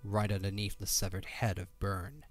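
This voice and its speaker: Low-voiced man narrating a dramatic story. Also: fairly even intonation, moderate pace. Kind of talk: scripted dramatic audiobook-style narration. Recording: background music under the voice.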